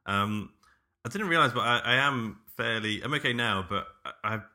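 A man's voice: medium pitch, normal speed, sharp tone. A man co-hosting a podcast, chatting in a casual, informal way. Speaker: podcast co-host. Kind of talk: casual chat.

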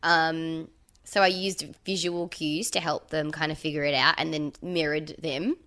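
A woman co-hosting a podcast, telling a story in casual chat with lively acted-out quotes. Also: low-pitched, a little slow. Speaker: podcast co-host.

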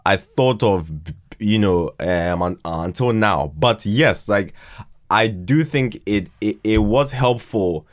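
A man in casual podcast chat, halting and repeating words as he searches for them. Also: on the low side. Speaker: podcast speaker.

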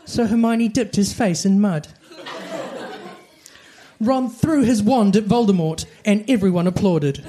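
A man's voice: high voice, unhurried, pauses often, warm tone. A man reading comic fiction aloud like a storybook, with dramatic delivery. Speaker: reader of comic fan fiction. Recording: faint background noise and clicks.

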